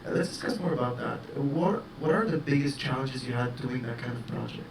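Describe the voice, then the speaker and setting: Guy hosting an interview, all excited, trips over his questions, mid pitch, sounds far away in a roomy space. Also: gravelly. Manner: enthusiastic, halting questions. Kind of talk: festival interview. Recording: roomy, distant mic with noise.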